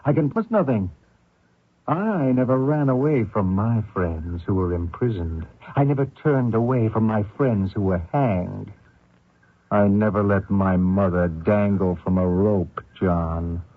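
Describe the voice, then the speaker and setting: An actor playing a vengeful Canadian man hunting a fugitive, deliberate and taunting in an old radio drama. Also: fairly low pitch, dry recording. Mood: cold contempt. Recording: muffled thin old radio recording.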